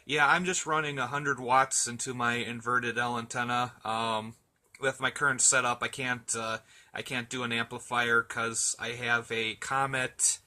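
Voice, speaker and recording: A man's voice: mid-range voice, little pitch movement, a little slow, bright, forceful tone. A man in a casual radio chat, talking in an easygoing, chatty and hesitant way as an amateur operator.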